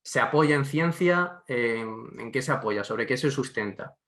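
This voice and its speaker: A man hosting a podcast interview, asking questions in a relaxed, conversational way with small restarts. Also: mid-range voice, unhurried.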